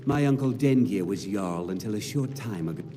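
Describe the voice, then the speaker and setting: An actor playing a smug, pompous young lord in a fantasy video game. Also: male voice, lowish voice, expressive, moderate pace, dark tone, lightly acted. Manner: pompous, self-satisfied. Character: smug, pompous young male Jarl, privileged noble. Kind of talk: video game character dialogue. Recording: long hall reverb, music behind.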